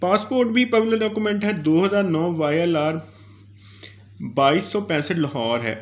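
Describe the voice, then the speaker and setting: A man with a Pakistani accent teaching law in an informal lecture, explaining step by step and switching between two languages. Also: high-pitched. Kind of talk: informal Urdu-English legal lecture. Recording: dull, lo-fi recording.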